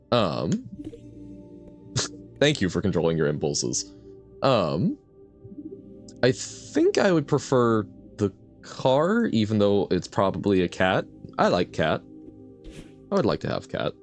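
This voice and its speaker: Man streamer, lowish voice, very expressive pitch swings, rambling casual chat, frequent laughs, faint music behind. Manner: rambling, self-reflective, laughs often; reads and answers chat. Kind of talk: casual gameplay commentary.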